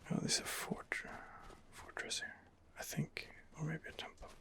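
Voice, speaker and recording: A man quietly narrating his role-play game in a breathy ASMR whisper, musing and rambling hesitantly, close to the microphone. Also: normal speed. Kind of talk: ASMR gaming commentary.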